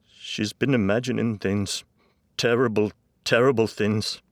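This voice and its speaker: A man's voice: on the low side, fairly even intonation, no echo, clean studio sound, pitched above the performer's own voice. Man playing a distraught older father, voice pushed up. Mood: tearful, grave. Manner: emphatic, upset, halting. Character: distraught older father breaking grave family news. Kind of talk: audiobook character dialogue.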